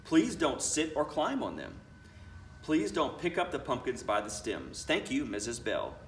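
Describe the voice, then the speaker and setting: A man's voice: mid-range voice, wildly swooping pitch, a little slow. A man voicing an earnest, inquisitive young girl in a children's picture book read-aloud. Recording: roomy, distant mic, faint noise.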